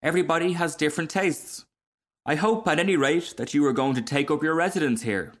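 A man's voice: a little high, fairly quick, voice pushed up, close to an everyday voice. A homely female duck from a storybook , put on by a male reader. She is peaceful and good-natured, chatting mildly and agreeably. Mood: placid. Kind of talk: storybook character reading.

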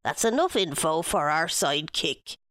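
A woman's voice: low-pitched, sing-song, normal speed, a bit bright, dry recording, clean studio sound. Woman voicing a curt bossy fairy leader.

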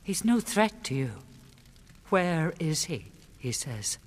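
An actress playing a grieving, defiant queen of a fallen city, speaking in a bitter, measured and scornful way in first-person radio drama narration. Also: sits low, big pitch swings, long reverb tail, heavily acted. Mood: guarded.

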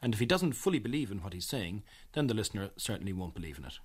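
A man with an Irish accent presenting scripted documentary narration in a measured, earnest manner. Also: lowish voice, sing-song, husky.

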